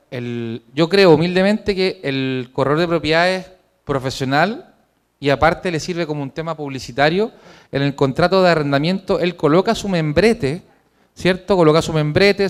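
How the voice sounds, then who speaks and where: A man presenting his own book in a formal, measured lecture to an audience in a hotel conference room. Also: a little high, smooth.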